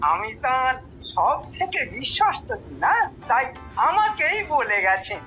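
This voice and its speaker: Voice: sits high, bright, forceful tone, exaggerated voice, rough recording. An actor with a Bengali accent playing a pompous, scheming male royal minister in a cartoon, haughty and commanding.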